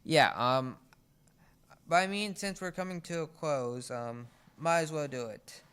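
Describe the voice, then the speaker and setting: A teenage boy hosting a podcast in casual chat, speaking haltingly with frequent restarts. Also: a little high, a bit bright, clear, resonant tone. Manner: halting, restarts words often. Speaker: podcast host.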